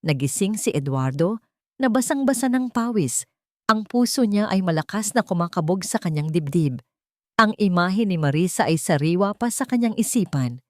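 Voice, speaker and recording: A woman narrating a dramatic audio story in a steady, suspenseful storytelling manner. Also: medium pitch, normal speed, no echo, clean studio sound.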